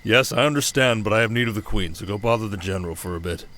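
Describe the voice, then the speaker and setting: A protective desert king from an ASMR fantasy drama, acted by a man. His voice is low, steady and measured. He speaks intimately, as a devoted husband would to his queen. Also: natural voice. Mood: commanding. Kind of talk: ASMR audio roleplay drama.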